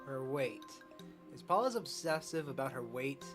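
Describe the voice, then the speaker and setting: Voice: mid-range voice, lively intonation, normal speed, music in the background, close to an everyday voice. Someone voicing a polite earnest doctor. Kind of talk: amateur fan dub of a medical drama.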